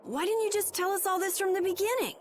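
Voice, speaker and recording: A woman's voice: very high voice, fast talker. An actress playing a feisty, inquisitive and direct young girl in a video game. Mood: indignant. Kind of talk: scripted video game voice acting. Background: game music.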